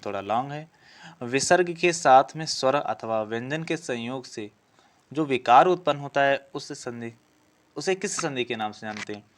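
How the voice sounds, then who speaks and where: A man giving an exam-prep lesson as a teacher, reading out practice questions and explaining the answers as he goes. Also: mid-range voice. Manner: explanatory, asks questions then answers them. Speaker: Hindi grammar teacher for police exam prep.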